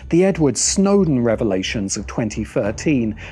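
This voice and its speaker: A middle-aged man delivering scripted video essay commentary in a deliberate, wry manner. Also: mid-range voice, big pitch swings, dark tone. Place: open-sided outdoor pavilion by a garden. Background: background music.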